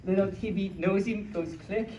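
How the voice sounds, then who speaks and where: A playful storyteller reading picture books aloud to a small audience, with childlike, cutesy phrasing and excited asides. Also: mid-range voice, normal speed. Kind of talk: playful live story time with ad-libbed asides. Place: small room at a convention. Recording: echoey room from far off, faint noise.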